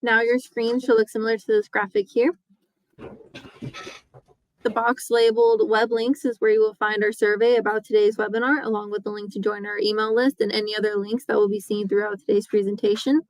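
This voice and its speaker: A woman's voice: medium pitch, brisk, no echo, low-quality recording. Woman reading a dry webinar script. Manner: reads from a script, steady and procedural. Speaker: webinar facilitator. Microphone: webinar microphone.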